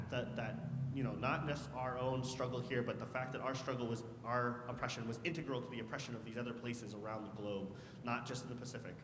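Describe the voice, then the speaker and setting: A man speaking as a panelist, casual and joking, often repeating words, in a hall. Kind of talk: panel talk. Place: hall, a former church. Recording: echoey hall with faint rumble.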